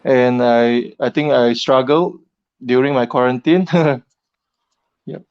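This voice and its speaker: A man's voice: mid-range voice, slow pace, darkish tone, clean tone, dry recording, muffled recording. A man from Malaysia, a guest on a live stream call, giving short, hesitant plain answers. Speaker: guest caller. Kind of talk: casual interview chat.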